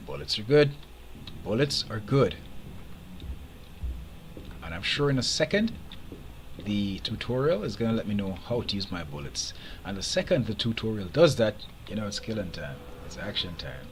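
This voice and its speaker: Chatty Jamaican streamer, deep voice. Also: male voice, sing-song, moderate pace, long pauses, slight background noise. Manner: chatty, opinionated, laughs often. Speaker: game streamer. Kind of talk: casual live gameplay commentary.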